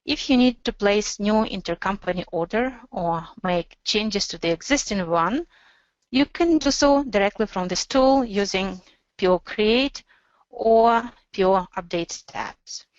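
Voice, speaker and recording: Female webinar presenter, mid-pitched, measured step-by-step software walkthrough, close and dry with slightly dull audio. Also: no echo. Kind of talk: instructional software demo narration.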